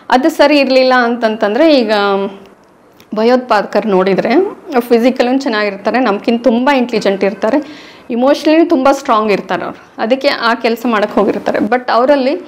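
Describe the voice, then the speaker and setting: High-voiced teacherly woman, North Karnataka accent. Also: moderate pace. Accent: North Karnataka Kannada. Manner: patient, teacherly, mixing in English words. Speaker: interview guest, prenatal course teacher. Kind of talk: explanatory interview talk.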